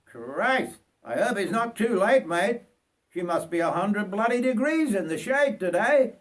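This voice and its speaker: A man's voice: high-pitched, very animated, unhurried, voice pushed up. A man voicing a friendly, blunt train conductor in a storybook reading, with matey colloquial talk. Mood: hearty, friendly.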